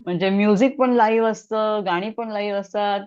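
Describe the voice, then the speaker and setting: संथ बोलणारी मध्यमवयीन मराठी स्त्री. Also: female voice, mid-range voice, steady tone, a little fast, clean tone, highs cut off, soft background music. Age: middle-aged. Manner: reflective, many hesitations, laughs softly. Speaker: puppeteer. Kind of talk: casual interview answers.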